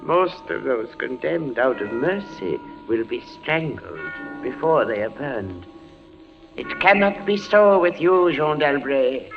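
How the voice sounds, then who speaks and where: An actor playing a stern, pious, merciless Inquisition judge, solemn and declamatory in 1940s radio style. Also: male voice, medium pitch, big pitch swings, slow pace, gravelly, music in the background, exaggerated voice. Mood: coldly solemn. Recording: muffled 1940s radio recording.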